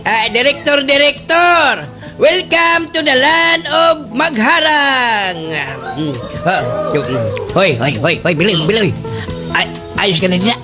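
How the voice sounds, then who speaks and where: Super high flustered village captain, sucking up and stammering, swoops all over, music behind. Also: male voice, animated, slow, exaggerated voice. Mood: fawning, flustered. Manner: stammering, repeating words, obsequious. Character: fussy, fawning, flustered village captain in slapstick satire. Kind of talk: exaggerated slapstick radio drama acting. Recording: music under the voice.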